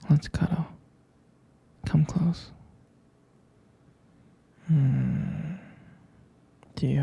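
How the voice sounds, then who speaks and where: A man playing a tender, protective, jealous boyfriend in an intimate whispered ASMR roleplay, breathy and hesitant, with gravelly fry. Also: on the high side, warm tone, dull audio, full character voice. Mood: soft, nostalgic. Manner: slow, intimate, hesitant murmuring. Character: tender, protective, jealous young boyfriend comforting his partner.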